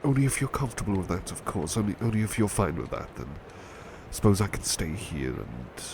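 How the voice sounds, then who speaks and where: Gentle, affectionate butler played by a man for ASMR roleplay; low, expressively varied delivery, hesitant and stammering, over clear background noise. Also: sing-song, fairly quick. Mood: weary, flustered. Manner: polite, hesitant, stammering, tender. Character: gentle, devoted, flustered male butler, tired and affectionate. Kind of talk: intimate ASMR roleplay, soft-spoken.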